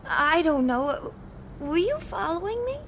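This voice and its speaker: An actress playing a self-assured but wary nightclub performer in a radio play, with a wry, skeptical manner. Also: female voice, very high voice, very animated, a little slow, a bit bright, a little hiss or hum, full character voice. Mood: wry, guarded.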